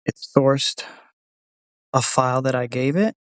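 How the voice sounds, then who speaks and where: Casting: male demo host, middle-pitched, casual and conversational, dry close sound. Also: measured pace, dry recording. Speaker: host testing an AI voice assistant.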